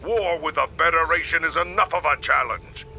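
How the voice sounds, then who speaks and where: An actor playing a stern alien warrior leader in a video game, giving orders with formal authority. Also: male voice, fairly high pitch, normal speed, vocal fry, bright, forceful tone, a little hiss or hum, exaggerated voice. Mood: stern, commanding. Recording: faint music behind the voice.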